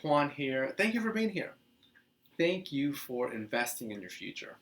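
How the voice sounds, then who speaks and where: A man in his thirties, from the Dominican Republic, hosting a casual teaching video, talking with lively hand gestures in a small office. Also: mid-range voice, measured pace. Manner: animated, gestures a lot, chatty with restarts. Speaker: host. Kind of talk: casual teaching monologue to camera. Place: small office with couch, indoors.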